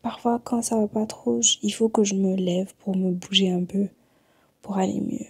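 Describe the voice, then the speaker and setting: A woman from Senegal vlogging at home while sick and tired, talking in a low-key, weary way. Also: steady tone, normal speed, darkish tone, husky, no room sound. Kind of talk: casual vlog talk. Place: at home in Montreal.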